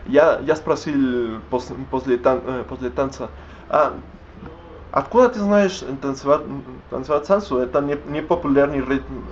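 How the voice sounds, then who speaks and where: Halting man, dull recording. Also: mid-range voice, slight background noise. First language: Spanish. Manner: halting, many restarts and fillers while searching for words. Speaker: language learner vlogging. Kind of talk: unscripted vlog in a learned language.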